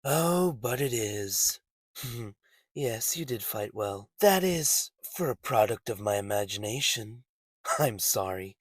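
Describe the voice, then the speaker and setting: A man's voice: extremely high pitch, animated, measured pace, creaky, slightly rough, dry recording, exaggerated voice. A man playing an arrogant young male villain in an anime dub, eerie and intense in his menace. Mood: quiet, smug.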